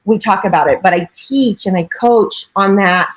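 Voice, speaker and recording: A woman's voice: mid-range voice, normal speed. A middle-aged woman, a real estate broker and coach, giving chatty, earnest interview answers with frequent filler phrases.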